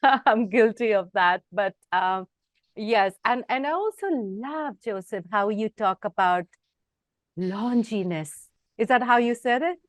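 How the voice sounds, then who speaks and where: A woman's voice: mid-range voice, no echo. Affirming woman hosting a talk show. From India. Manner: warm and affirming, quick to agree. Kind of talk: casual interview talk.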